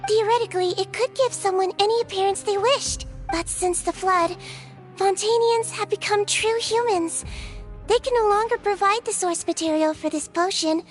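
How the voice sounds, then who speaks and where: A woman voicing a small, kindly nonhuman head nurse who speaks calmly and clinically in a video game. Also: extremely high pitch, normal speed, soft background music. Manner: gentle and composed, clinical.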